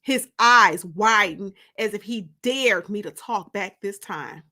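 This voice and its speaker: A woman narrating a first-person audiobook story in an expressive, emphatic, dramatic way. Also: mid-range voice. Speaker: author and narrator.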